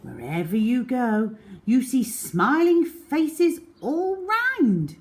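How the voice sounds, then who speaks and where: A woman reading a children's story aloud as a storyteller, in an expressive, deliberate manner with drawn-out words. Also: on the high side.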